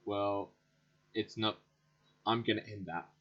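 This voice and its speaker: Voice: deep voice, steady tone, slow pace. A gaming commentator reacting casually over gameplay, slangy and dry in delivery. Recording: muffled mic over game music.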